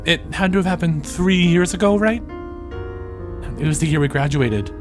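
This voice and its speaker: A man playing an earnest, tentative young graduate in an audio drama, soft-spoken and halting. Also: a little high, music in the background, close to an everyday voice. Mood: troubled, reflective.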